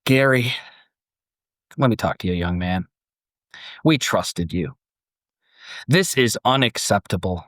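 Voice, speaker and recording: A man's voice: on the low side, animated, slow pace, long pauses, fairly bright tone, dry recording, clean studio sound. A man playing a stern, disappointed customer in an audio story, scolding with condescension.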